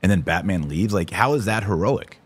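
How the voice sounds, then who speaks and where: A man's voice: low-pitched, animated, fairly quick, breathy edge. A man hosting a podcast, giving casual, emphatic and opinionated livestream commentary with informal slang. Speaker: podcast host.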